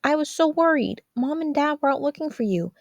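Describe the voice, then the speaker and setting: A woman's voice: high voice, expressive, fairly quick, dry recording, voice pushed up. A woman voicing an anxious, caring teenage sister in a story, urgent and fretful as she scolds. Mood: worried, urgent.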